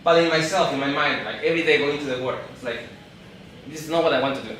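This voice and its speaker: A man from Peru in his thirties, speaking English with a Peruvian Spanish accent, gives a live talk to an audience. He speaks haltingly, often repeating and restarting words. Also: a little high, fairly bright tone, very echoey, recorded from a distance, slight background noise, low-quality recording. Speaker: event speaker. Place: event hall.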